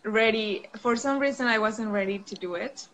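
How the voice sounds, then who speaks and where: A woman's voice: fairly high pitch, moderate pace, a bit bright, rough recording. A young woman from Venezuela, telling a personal story on a video call in careful English with restarts. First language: Spanish. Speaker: guest. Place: home room. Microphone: laptop mic on a video call. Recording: video call with faint outdoor noise.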